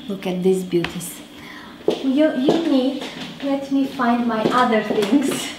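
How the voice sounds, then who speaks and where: A woman's voice: a little high, lively intonation, slow, very noisy. A woman in her thirties hosting a home cooking show, chatting casually as she cooks in her kitchen. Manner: chatty, talks while cooking. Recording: roomy kitchen with faint clatter.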